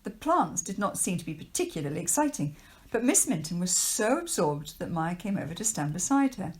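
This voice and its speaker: A woman's voice: lowish voice, very animated, brisk. A woman, a teacher, reading a children's novel aloud as narrator. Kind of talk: storybook narration read aloud.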